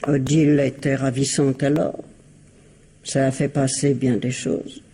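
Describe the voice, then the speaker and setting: A woman playing an elderly, falsely sweet, gossipy and scheming mother-in-law in a radio mystery, in a husky, insinuating, theatrical manner. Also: extremely low pitch, flat delivery, unhurried, dark tone, a little hiss or hum. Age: older. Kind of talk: radio mystery drama acting.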